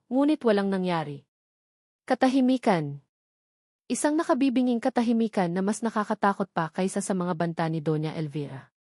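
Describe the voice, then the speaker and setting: A woman reading a scripted, dramatic family love story as narrator, pausing between short phrases for effect. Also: mid-range voice, darkish tone, dry recording, clean studio sound.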